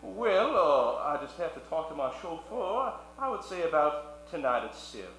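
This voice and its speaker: A man playing a sly, mysterious underworld caller, coy and halting, in a comic old-time radio play. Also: a little high, very animated, a bit bright, soft background music. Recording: echoey room with hum.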